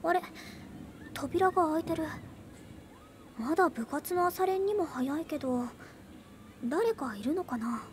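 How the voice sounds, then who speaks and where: A woman voicing an earnest, wistful teenage schoolgirl in a Japanese video game, speaking softly and hesitantly. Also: high voice, lively intonation, measured pace, pauses often, a little hiss or hum.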